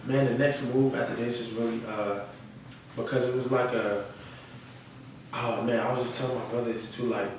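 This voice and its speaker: A man's voice: medium pitch, steady tone, moderate pace, long pauses. A young man talking casually and slangily in a podcast interview. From North Carolina, United States. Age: young adult. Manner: slangy, often checks with "you feel me". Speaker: rapper being interviewed. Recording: echoey room, distant mic, noisy.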